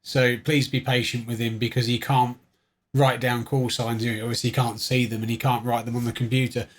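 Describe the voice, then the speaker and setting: A man's voice: mid-range voice, little pitch movement, a little fast. A British man hosting a casual vlog, chatting informally and playfully, often as if thinking aloud. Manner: informal, playful, talks to himself. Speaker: vlogger, livestream host.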